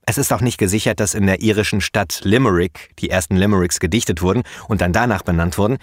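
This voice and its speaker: Male poet-host, low voice, chatty explainer, dry recording. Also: quick pace, dry recording. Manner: chatty, relaxed, with frequent fillers. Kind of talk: explanatory podcast monologue.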